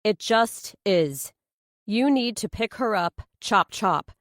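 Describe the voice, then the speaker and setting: A woman's voice: mid-range voice, lively intonation, slow pace, no echo, clean studio sound. A woman voicing an evasive, businesslike female lawyer who presses insistently in a thriller audiobook. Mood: urgent, evasive.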